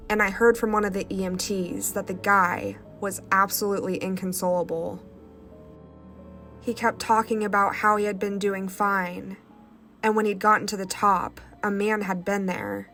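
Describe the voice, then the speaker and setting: A woman hosting a channel and reading first-person stories aloud with a congested, stuffy nose in a calm, measured way. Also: mid-range voice, faint music behind. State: stuffy nose from sinus infection. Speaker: host.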